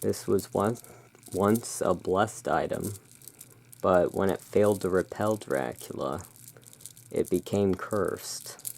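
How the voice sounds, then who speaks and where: A soft-spoken ASMR performer playing the courteous owner of a gothic curiosity emporium, speaking in a hushed, deliberate way. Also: low voice, creaky. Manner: slow, deliberate, hushed sales patter. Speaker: roleplaying shop owner, ASMR host.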